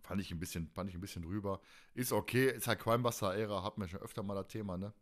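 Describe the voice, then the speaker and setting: A man co-hosting a podcast, recapping in a casual, animated, stop-and-start way and often turning to the others. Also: fairly low pitch, very fast. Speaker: podcast host. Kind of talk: casual fan podcast discussion.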